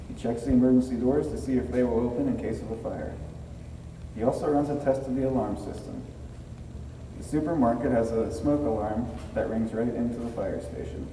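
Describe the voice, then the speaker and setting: A man's voice: mid-range voice, fairly quick, mellow. A man reading a children's story aloud as narration. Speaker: firefighter reading a children's book. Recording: roomy hall, distant mic, faint noise.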